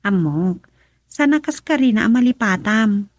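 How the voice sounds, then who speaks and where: A woman acting a concerned, talkative friend in a radio drama, husky and breathy, with an Ilocano Filipino accent. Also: mid-range voice, lively intonation, measured pace, no room sound, clean studio sound. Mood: exasperated.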